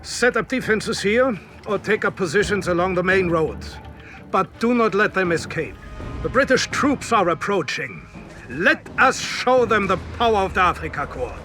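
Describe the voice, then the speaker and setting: High-pitched commanding general. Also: male voice, expressive, normal speed, pushed and bright, faint music behind. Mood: confident. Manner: formal, authoritative, clipped commands. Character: commanding German general, confident, calculating desert war strategist. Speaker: commanding officer giving mission briefings. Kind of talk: scripted video game cutscene and briefing acting.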